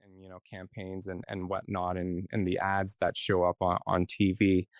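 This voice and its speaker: A man's voice: low-pitched, monotone, normal speed, no echo, clean studio sound. A man chatting casually as a podcast guest, tentative and questioning. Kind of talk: casual discussion.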